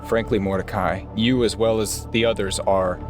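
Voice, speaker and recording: A man playing an earnest, weary private investigator in a tabletop game, speaking in a deliberate, solemn way over music. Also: fairly low pitch, fairly even intonation, close to an everyday voice. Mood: earnest, grave. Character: earnest, weary male private investigator pleading his case. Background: music behind the voice.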